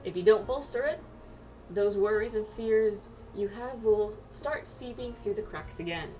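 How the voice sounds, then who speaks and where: A woman voicing a worried but steady companion from a fantasy game, earnest and gently admonishing. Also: medium pitch, measured pace, lightly acted. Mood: caring, firm. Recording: distant mic, music behind.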